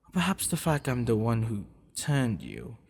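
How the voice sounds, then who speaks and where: A man playing a doting, possessive vampire lover in an ASMR roleplay, talking tenderly and teasingly in a husky, gravelly voice. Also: medium pitch, huge pitch swings, normal speed, darkish tone, exaggerated voice. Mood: earnest wonder. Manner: tender, soothing, teasing, intimate. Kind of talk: ASMR boyfriend roleplay acting.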